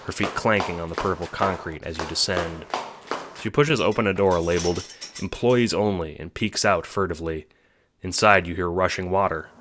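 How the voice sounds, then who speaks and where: A man's voice: low voice, fairly bright tone, a little hiss or hum. A man narrating a scripted audio drama in the second person as its storyteller.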